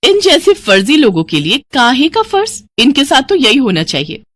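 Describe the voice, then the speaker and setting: A woman's voice: high voice, wildly swooping pitch, normal speed, voice pushed up, exaggerated voice. A woman voicing an arrogant, defiant young modern bride with a sassy manner in a family cartoon. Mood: bossy, defiant. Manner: haughty, sassy. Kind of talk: Hindi family cartoon dialogue.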